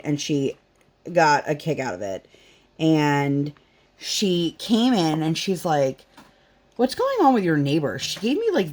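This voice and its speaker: A woman's voice: sits low, darkish tone. A woman, a mother and vlogger, telling rambling family stories in a casual chat at home, full of fillers and acted-out quotes. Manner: chatty, rambling, lots of fillers, acts out quotes. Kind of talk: casual storytelling chat while crafting.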